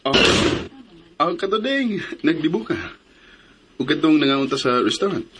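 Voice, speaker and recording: A man's voice: a little high, expressive, normal speed, fairly bright tone, a little hiss or hum. A man acting a dutiful, courteous young suitor in a romantic radio drama.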